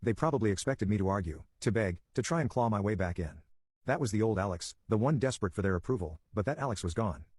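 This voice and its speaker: A man's voice: on the low side, expressive, quick pace, a little mellow, slightly rough, no room sound. A man narrating a scripted first-person story in dramatic, punchy phrases.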